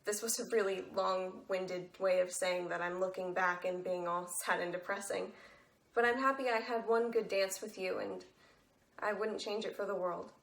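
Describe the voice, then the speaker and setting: A depressed, wry teenage girl acted by a woman delivers regretful letter monologues in a play. Her mid-pitched voice has a creaky edge and sits in a noticeably echoey space. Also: steady tone, moderate pace, natural voice. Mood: wistful, regretful. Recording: roomy echoing sound.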